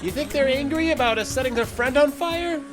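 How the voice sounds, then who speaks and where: A man's voice: very high voice, fairly quick, over music. An actor playing a nervy but resourceful young man in an audio drama, speaking in an eager, blurting, casual way. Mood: panicked, urgent.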